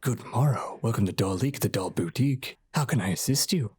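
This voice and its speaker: A performer playing a courteous, old-fashioned doll shop owner in a radio drama, formal and polite, with a husky voice. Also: medium pitch, lively intonation, fairly quick, darkish tone, no room sound.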